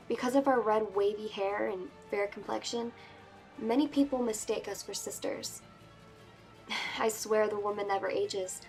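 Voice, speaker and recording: A woman voicing a sardonic, anxious teenage princess-to-be, narrating a fantasy book in a measured, wistful way. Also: medium pitch, vocal fry, low-quality recording. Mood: reflective. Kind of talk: first-person dramatic book reading.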